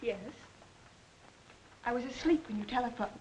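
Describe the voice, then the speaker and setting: High, husky, poised estranged wife. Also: female voice, moderate pace, darkish tone, rough recording. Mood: firm. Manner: poised, formal, emphatic. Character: composed, bitter estranged wife under questioning. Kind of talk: 1940s crime film acting. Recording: old 1940s film soundtrack.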